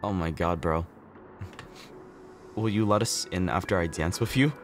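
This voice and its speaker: A man's voice: sits low, moderate pace, slight background noise. A young man livestreaming a video game with casual, teasing commentary full of slang and swearing. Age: young adult.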